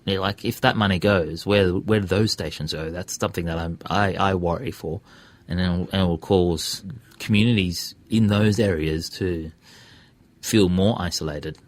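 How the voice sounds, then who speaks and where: A man's voice: low voice, fairly even intonation, moderate pace. An Australian man speaking casually as an interview guest, earnest and thinking aloud as he gives his views. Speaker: interview guest, community radio presenter and podcaster.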